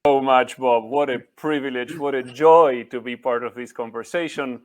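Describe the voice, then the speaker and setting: A middle-aged man with an Argentine accent, a native Spanish speaker, gives a conversational presentation. His voice sits at a medium pitch. He is articulate and tells his material as an expressive story. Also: moderate pace. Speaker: guest speaker, humanitarian researcher.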